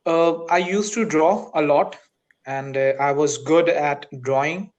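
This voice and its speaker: A man from Pakistan with a Pakistani accent, an English teacher chatting politely on a video call from a school, with frequent pauses and fillers. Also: on the high side, lo-fi sound. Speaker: English teacher, channel host. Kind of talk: casual video-call conversation. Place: school, indoors.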